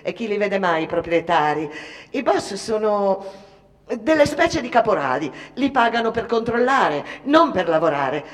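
An actress playing a bold, brash young Italian immigrant factory girl in an animated radio drama monologue. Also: medium pitch, normal speed, in a large hall, close to an everyday voice. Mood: brash, confident. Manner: animated, boastful, mimicking others. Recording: music behind the voice.

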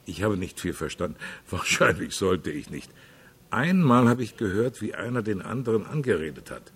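An actor playing a working-class taxi driver in a radio play, giving a plain-spoken witness account. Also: male voice, lowish voice, sing-song, normal speed, husky, exaggerated voice. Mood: eager, chatty.

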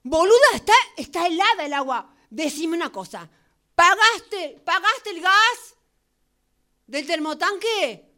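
A woman's voice: very high voice, lively intonation, measured pace, sharp tone, full character voice. A woman with an Argentine accent acting a sleepy, irritable young woman roommate in an improvised radio play, swearing and grumbling. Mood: fussing. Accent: Argentine Spanish. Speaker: radio drama workshop actor.

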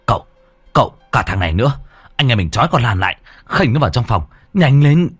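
A man's voice: medium pitch, very animated, a little fast, breathy edge, no echo, soft background music. A man voicing an urgent village man. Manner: urgent, commanding. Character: startled village man taking charge.

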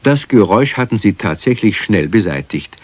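A man narrating a children's radio play as a calm, wry storyteller in a scripted delivery. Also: lowish voice, moderate pace. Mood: wry, storytelling.

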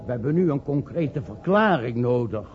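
A man acting an earnest, diplomatic professor in a Dutch radio play, speaking in formal, measured oratory. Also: medium pitch, lively intonation, noisy background, natural voice. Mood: weary, formal. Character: earnest, diplomatic professor pleading with scientific colleagues. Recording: dull, lo-fi old radio recording.

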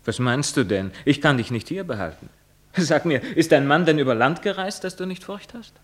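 A man's voice: on the low side, expressive, unhurried. A man playing a young lover who answers coolly and sarcastically in verse. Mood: mocking. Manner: sarcastic, dismissive verse speaking. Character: young male lover, cool, mocking.

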